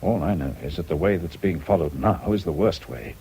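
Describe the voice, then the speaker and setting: A shrewd british doctor in a radio play, deep voice, rises and falls a lot, dry and pointed. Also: male voice, lively intonation, fairly quick, gravelly, mellow, a little hiss or hum. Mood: grave, insistent. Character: shrewd, probing male family doctor, calmly insistent. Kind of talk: radio drama acting.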